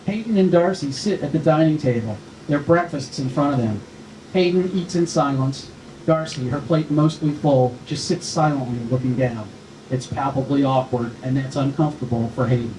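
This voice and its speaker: A man's voice: mid-range voice, moderate pace, dark tone. A man reading scripted stage directions as narrator at a screenplay table read. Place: live film festival table read. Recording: echoey room, faint noise.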